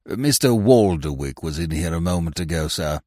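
A low-voiced male cloakroom attendant acted by a man from a storybook club, deferential and servile on the surface with a cold, put-out grumble underneath. The sound is dry and close. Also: animated, moderate pace, darkish tone, dry recording. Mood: uneasy. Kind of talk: audiobook character voice.

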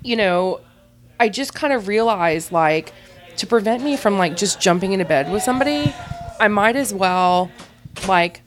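A woman's voice: medium pitch, expressive, moderate pace, faint music behind. A woman guest on a podcast, telling blunt, unembarrassed stories in a rambling way full of "like". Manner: rambling, heavy use of "like". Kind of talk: candid casual storytelling.

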